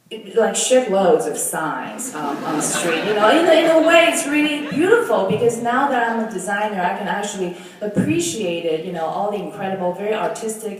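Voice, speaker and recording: Young woman from Taiwan, Mandarin native, low voice, hesitant designer presenting, recorded from afar in a very reverberant room. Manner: hesitant, many ums and restarts. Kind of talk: presentation talk with slides. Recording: echoey hall, mic from far off.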